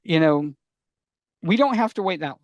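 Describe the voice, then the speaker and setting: A man with a somewhat high-pitched voice talks as a podcast guest. The recording is close and dry. His delivery is casual and emphatic, built from short phrases that he restates. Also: animated, normal speed, no echo, dull audio. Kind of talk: casual chat.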